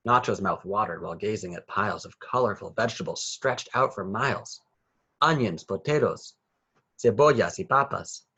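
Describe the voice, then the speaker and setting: A man's voice: mid-range voice, a bit bright, breathy edge, muffled recording. A man reading a children's picture book aloud with rhythmic, sing-song phrasing. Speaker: children's story reader.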